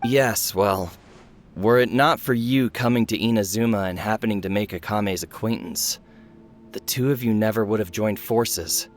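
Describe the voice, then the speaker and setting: An actor playing an earnest, weary leader of a forge in a video game, speaking gravely and deliberately with restrained suspicion. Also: male voice, lowish voice, faint music behind, natural voice. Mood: formal, sincere. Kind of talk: scripted game dialogue.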